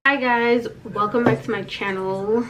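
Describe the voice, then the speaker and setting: A woman's voice: a little high, steady tone, measured pace, loud music behind the voice, rough recording. An American woman in her thirties chatting casually and playfully to camera as a vlogger, repeating phrases for emphasis. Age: 30s. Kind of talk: casual chatty life-update vlog to camera.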